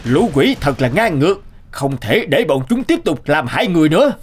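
A man's voice: a little high, very animated, a little fast, faint music behind. Man doing a stammering, anxious village official. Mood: worried. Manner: nervous, stammering with fillers.

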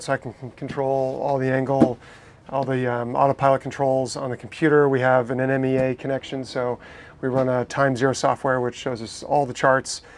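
A man in his thirties shows off his boat in an enthusiastic, explanatory way, often restarting his sentences. His voice sits at an average pitch. Faint background noise runs under his lapel mic. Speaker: boat owner giving a tour. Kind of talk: casual walkthrough tour. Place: inside a catamaran saloon, docked.

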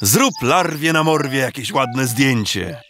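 An actor playing a jovial, teasing village neighbour in a rhymed children's radio play, with playful theatrical exclamations. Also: male voice, medium pitch, expressive, takes it slowly, over music. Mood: teasing, amused. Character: teasing, jovial village neighbour man urging a bachelor to marry.

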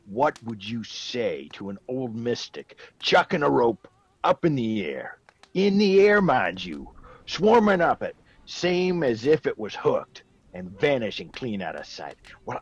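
An actor playing a gruff old soldier back from India, a rambling, emphatic storyteller fond of whiskey, in a radio drama. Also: male voice, mid-range voice, very animated, slow, no echo, highs cut off, exaggerated voice. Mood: boastful, insistent.

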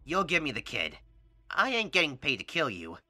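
A man's voice: a little high, huge pitch swings, moderate pace, pushed and bright, full character voice. A man voicing a cocky, murderous assassin villain in a comic dub, mocking and wisecracking with theatrical menace. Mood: cocky, menacing. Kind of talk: comic book dub voice acting. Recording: music behind.

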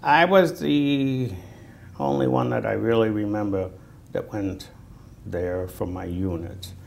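Low, gravelly older Boston man. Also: slow. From Natick, Massachusetts, United States. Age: 60s. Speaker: Vietnam War veteran being interviewed. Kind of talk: oral history reminiscence. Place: indoor room, seated on camera. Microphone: lapel. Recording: noticeable background noise.

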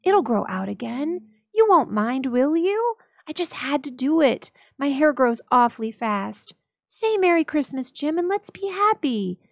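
A woman's voice: high-pitched, big pitch swings, unhurried, creaky, darkish tone, dry recording, clean studio sound, voice pushed up, exaggerated voice. A woman voicing an eager, devoted young wife in a dramatic story reading, gushing and pleading earnestly. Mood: pleading, anxious.

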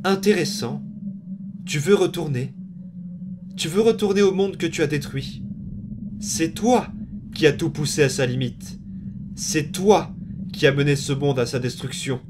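A man's voice: a little high, background noise, close to an everyday voice. Man acting a sinister, commanding undead boy. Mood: menacing. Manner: sinister, deliberate, condescending. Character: menacing, power-hungry undead boy, coldly commanding. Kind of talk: amateur comic dub acting. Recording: music behind.

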